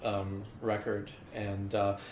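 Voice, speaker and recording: Low-voiced man in an echoey room. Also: completely flat delivery, a little mellow. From born in South Africa, raised in Philadelphia. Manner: thoughtful, measured, with many fillers and restarts. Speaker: musician guest. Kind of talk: casual interview talk. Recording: echoey room with faint background noise.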